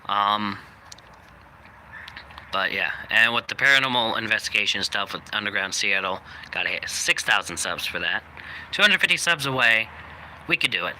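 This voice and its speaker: Rambling young man, slightly raspy, noisy outdoors. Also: lowish voice, expressive, normal speed, pushed and bright. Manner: rambling, many fillers. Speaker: channel host. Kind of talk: casual vlog update. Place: outdoors, people walking by.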